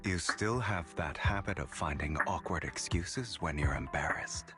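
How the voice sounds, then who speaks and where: An actor playing a suave, sardonic gentleman thief and con artist in a video game, with a grandiose, silky, deliberate delivery. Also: sits low. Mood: wry, brisk. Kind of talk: dramatic video game voice acting. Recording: background music under voice.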